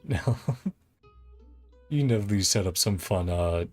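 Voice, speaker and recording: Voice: sits low, animated, moderate pace. A game livestream host chatting casually in a giggly, hesitant, rambling way. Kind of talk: casual game stream chat. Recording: game music behind the voice. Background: video game music.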